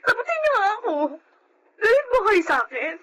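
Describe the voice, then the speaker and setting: Very high-voiced, hurt young Filipino woman. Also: sing-song, a little fast, noisy background. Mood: hurt, defiant. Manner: pleading, emotional protest. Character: hurt, defiant, anxious young granddaughter who answers back. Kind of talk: melodramatic drama acting. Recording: loud music under dialogue.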